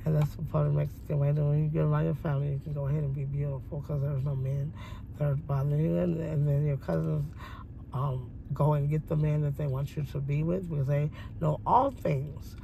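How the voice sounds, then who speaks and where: A woman in her forties talking at length from a parked car, meandering with many ums and pauses. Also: deep voice, steady tone, creaky, warm tone, slight background noise, poor audio. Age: 40s. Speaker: interviewee. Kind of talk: rambling personal monologue. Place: parked car by a window, daytime.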